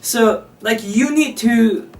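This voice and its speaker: A man's voice: extremely high pitch, slow. A man from South Korea with a Korean accent, speaking casually in simple phrases as a vlog co-host. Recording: distant mic, faint music behind.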